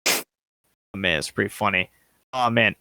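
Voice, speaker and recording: A man's voice: fairly low pitch, a little slow, noisy background. A young man from Canada hosting a casual podcast chat, joking and poking fun at himself. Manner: joking, self-mocking.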